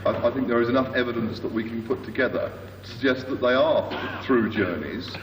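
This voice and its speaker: A man's voice: medium pitch, a little fast. A man explaining points in a considered way at a public meeting. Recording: noisy echoey hall, distant mic.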